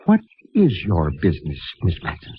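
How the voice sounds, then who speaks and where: An actor playing a kindly, righteous mission priest in a 1950s radio drama, speaking solemnly and gently in a husky voice. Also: male voice, low voice, huge pitch swings, moderate pace, a little hiss or hum. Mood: gently curious. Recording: muffled narrowband vintage radio recording.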